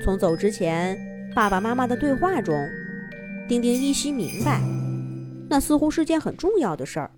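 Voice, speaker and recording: A woman narrating a children's story in a gentle, expressive storybook manner. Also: fairly high pitch, moderate pace, music in the background.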